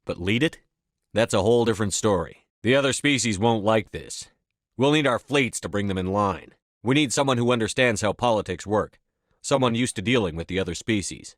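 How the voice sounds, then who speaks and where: An actor playing a resolute, no-nonsense military commander in a science fiction video game, with firm, clipped, commanding delivery. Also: male voice, lowish voice, animated, a little fast, dry recording, clean studio sound, close to an everyday voice. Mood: firm, determined. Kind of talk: video game voice acting, scripted dialogue lines.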